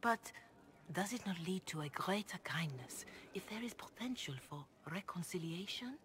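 Low-voiced, grave Chantry mother. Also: animated, a bit bright. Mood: earnest, pleading. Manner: formal, measured, earnest. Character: grave, devout, compassionate older Chantry mother. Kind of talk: video game dialogue acting. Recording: clear background noise and ambience.

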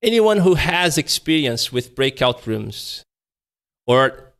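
A man in his thirties hosting a live webinar over a video call, talking casually and raising and lowering his energy to make his points. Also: sing-song, slow pace, fairly bright tone. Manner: shifts voice energy up and down to demonstrate, conversational. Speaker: webinar presenter. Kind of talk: live online webinar talk. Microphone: video call webcam.

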